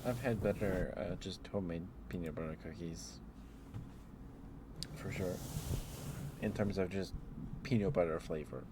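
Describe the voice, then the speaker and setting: Male ASMR streamer, lower register, gentle drowsy casual delivery, close mic with clear background noise. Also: unhurried, long pauses. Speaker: live streamer. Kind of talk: rambling ASMR livestream chat.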